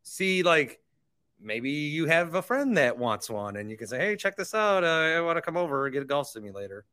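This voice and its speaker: A man's voice: a little high, sing-song, normal speed, sharp tone, smooth. A man hosting a sports podcast, talking conversationally and with opinion, repeating phrases for emphasis. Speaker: podcast host. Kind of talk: sports podcast talk with sponsor reads.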